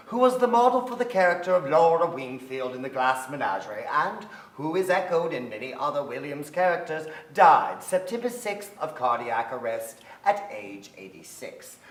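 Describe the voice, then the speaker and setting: Male stage reader, middle-aged, dramatic script delivery, fairly high voice with lively swings. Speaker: actor in a staged reading. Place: theatre stage before an audience. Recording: roomy theatre stage sound.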